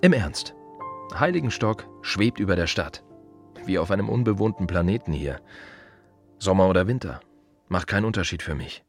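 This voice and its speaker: An actor playing an aging, weary gravedigger who loves silence, musing in short, repeated fragments in a literary radio play monologue. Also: male voice, low voice, lively intonation, moderate pace, long pauses, faint music behind.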